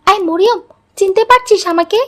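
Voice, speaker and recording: A woman's voice: very high-pitched, brisk, a bit bright. A woman voicing a headstrong young college girl in a moral cartoon story. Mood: friendly, earnest. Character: headstrong college girl Fatema, later remorseful.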